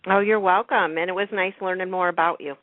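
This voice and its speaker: A woman's voice: fairly low pitch, fairly even intonation, fast talker, no room sound. A woman hosting a casual talk radio show, chatting informally. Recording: narrow phone-like internet radio call.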